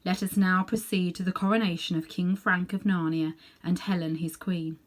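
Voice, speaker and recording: A majestic storybook lion, voiced by a woman reader, grave and ceremonious. He speaks in slow, commanding phrases, with solemn authority. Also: fairly low pitch, fairly even intonation, natural voice.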